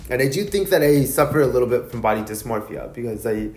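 A man's voice: medium pitch, animated, fast, a little mellow, echoey room. A man in his thirties, a podcast co-host, talking candidly in casual chat, laughing often and swearing freely. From Mexican heritage. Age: 30s.

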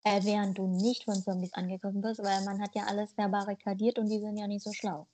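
A woman's voice: mid-range voice, little pitch movement, fast talker, mellow. A woman born in Germany co-hosting a podcast, talking bluntly and playfully in casual chat. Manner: blunt, playful, spins jokes out. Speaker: podcast co-host.

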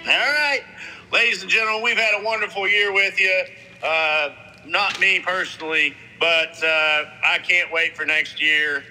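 Give me a brash male host with a very bright, cutting voice. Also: high voice, fairly even intonation, unhurried, soft background music, poor audio. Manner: brash, announcing, cussing. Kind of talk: profane show hosting.

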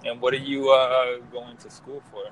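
Man in his thirties from the Dominican Republic, medium pitch, rambling and halting, video call with faint noise. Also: flat delivery, rough recording. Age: 30s. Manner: rambling, halting, many restarts, laughs easily. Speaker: software developer. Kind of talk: casual video chat.

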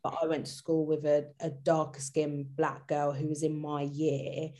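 A woman's voice: sits low, little pitch movement, unhurried, dark tone. A woman guest recounting her background in a casual conversation, rambling with many "like" and "kind of" fillers. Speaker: guest, co-founder.

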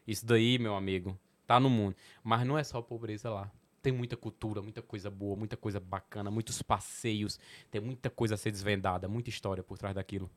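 A young man from Petrolina with a sertão Pernambuco accent, a comedian chatting on a podcast, animated, laughing often and swearing casually. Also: fairly low pitch, brisk. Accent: Pernambuco sertão, São Francisco valley. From Petrolina, Pernambuco, Brazil.

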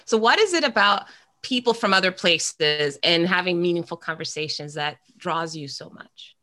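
A woman's voice: on the low side, lively intonation, normal speed, pushed and bright. A woman hosting a podcast, asking her guests questions in an encouraging, affirming way. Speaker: podcast host.

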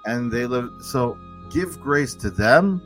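A man hosting a casual conversation, speaking earnestly and haltingly with frequent restarts. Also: medium pitch, lively intonation, fairly bright tone, faint music behind, poor audio. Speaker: podcast host.

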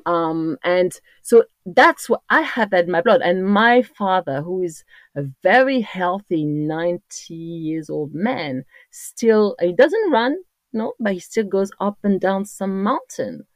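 A woman's voice: mid-range voice, animated, slow pace, dry recording. A woman in her fifties from Corsica with a French accent, chatting as a podcast guest in a rambling way with many restarts. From Corsica, France. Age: 50s. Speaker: podcast guest, trail runner. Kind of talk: casual interview chat.

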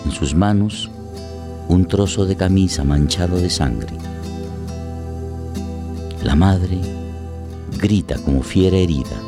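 Deep-voiced man narrating a radio drama. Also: over music. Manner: measured, short deliberate phrases.

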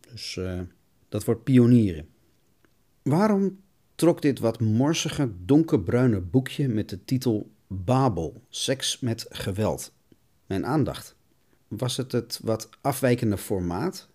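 A man's voice: lowish voice, warm tone. A man hosting a podcast, reading scripted narration in a wry, tongue-in-cheek way. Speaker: podcast host.

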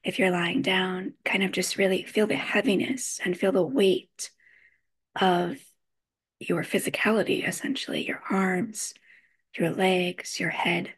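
A woman with a slightly low voice leads a guided meditation. She speaks in short, measured phrases and leaves frequent pauses, soothing the listener as she gives each instruction. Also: steady tone, breathy edge.

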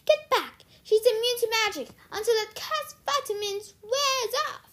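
A woman's voice: extremely high pitch, big pitch swings, very slow, bright, forceful tone, voice pushed up, heavily acted. A young female reader voicing a furious, panicked sorceress villain who shrieks and exclaims.

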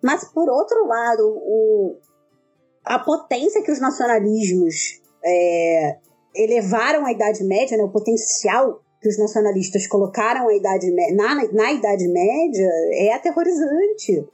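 A woman talking in a casual academic discussion, lively and animated. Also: mid-range voice, unhurried, breathy edge, soft background music. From southern Brazil. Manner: lively, animated storytelling with acted quotes. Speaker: medievalist scholar.

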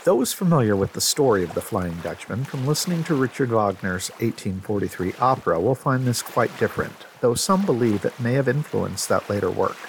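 Guy doing a scripted podcast intro, kinda low voice, bit of noise behind. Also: lively intonation, brisk, hardly any pauses, rough recording. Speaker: podcast announcer.

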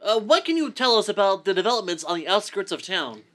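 A woman's voice: mid-range voice, very animated, fast talker, fairly bright tone. A woman playing a hesitant, flustered male news reporter in a comic radio play, stammering through polite, formal reports. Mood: nervous, formal.

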